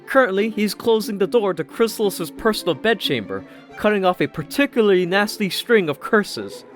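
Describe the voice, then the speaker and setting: Male audiobook narrator, slightly high register, expressive first-person storytelling over faint music. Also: normal speed. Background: background music.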